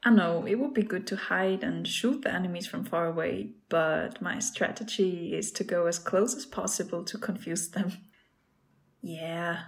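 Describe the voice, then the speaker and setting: Woman acting as a playful young girlfriend hooked on her game, talks slow, asmr roleplay. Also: fairly low pitch, creaky. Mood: chatty, amused. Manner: playful, pleading, talks to the listener as "babe".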